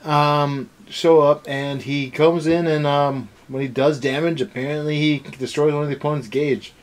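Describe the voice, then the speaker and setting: A man's voice: a little high, fairly even intonation, moderate pace, a little hiss or hum, rough recording. A man co-hosting a casual anime review rant, talking in a rambling, sarcastic way. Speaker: co-host of an anime review show. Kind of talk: casual ranting review chat.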